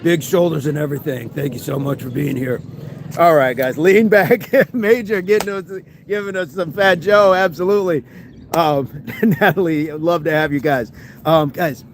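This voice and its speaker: A man's voice: a little high, slight background noise. A man hosting a fitness livestream outdoors, chatting upbeat with viewers and reading their comments from a phone. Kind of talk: casual livestream chat with viewers. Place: outdoors among trees.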